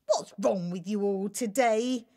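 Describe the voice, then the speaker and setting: A woman's voice: mid-range voice, slow pace, warm tone. A woman voicing a blustering, short-tempered king in a children's storybook, with an imperious, exclamatory delivery. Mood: imperious fury.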